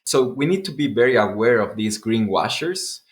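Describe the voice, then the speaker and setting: A man's voice: medium pitch, lively intonation, moderate pace. A man speaking conversationally as a guest in an interview.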